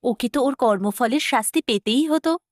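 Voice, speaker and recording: A woman's voice: on the high side, moderate pace, darkish tone, dry recording, studio quality, natural voice. A woman playing a composed, affectionate mother goddess in a mythological cartoon, assured and motherly.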